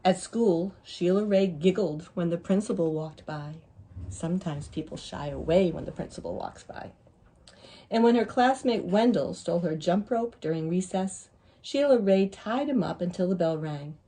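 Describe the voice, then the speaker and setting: A woman reading a picture book aloud to young children in an expressive, deliberate way. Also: on the low side, breathy edge, poor audio. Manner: deliberate, pausing to ask listeners questions. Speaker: children's story reader.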